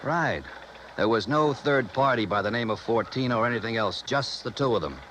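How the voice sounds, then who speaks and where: An actor playing a helpful, efficient police sergeant, plain-spoken in 1950s radio drama style. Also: male voice, medium pitch, fairly quick, a bit bright, a little hiss or hum, close to an everyday voice. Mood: businesslike.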